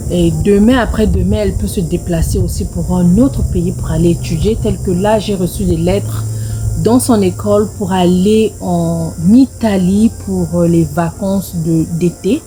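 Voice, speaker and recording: A woman's voice: fairly low pitch, warm tone, slight background noise, rough recording. A middle-aged woman with a Congolese French accent tells a personal story casually, repeating phrases for emphasis. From Congo-Brazzaville. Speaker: video storyteller.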